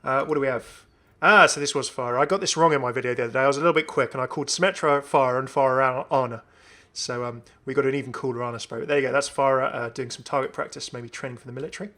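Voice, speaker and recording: A man hosting a gaming video, giving casual, enthusiastic commentary in a creaky voice as he browses game items. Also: mid-range voice, very fast, few pauses, sharp tone. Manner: chatty, enthusiastic. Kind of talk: casual gameplay showcase commentary.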